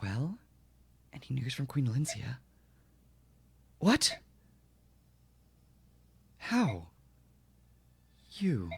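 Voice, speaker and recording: A man's voice: medium pitch, huge pitch swings, extremely slow, gravelly, long pauses, husky, pitched above the performer's own voice, full character voice. Man voicing a composed, guarded noblewoman. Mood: startled. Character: composed, guarded noblewoman spymaster, coolly defiant.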